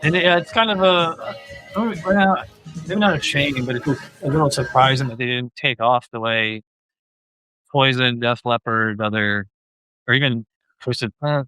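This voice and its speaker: A man's voice: mid-range voice, moderate pace, pushed and bright, poor audio. A man hosting a podcast and talking casually about music. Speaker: podcast host.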